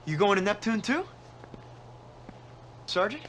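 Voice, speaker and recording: An actor playing a cocky, eager young space marine recruit in a scripted game cutscene, with a breezy manner. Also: male voice, high-pitched, sing-song, unhurried, a bit bright, slight background noise, full character voice. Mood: curious.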